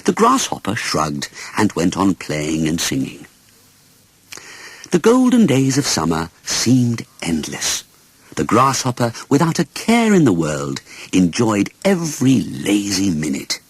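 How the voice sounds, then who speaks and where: A man reads a children's storybook aloud. His intonation is extremely lively, rising and dipping expressively, and his voice has a husky edge. The sound is dry, with little room around it. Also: lowish voice, a little slow, no echo. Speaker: audiobook narrator.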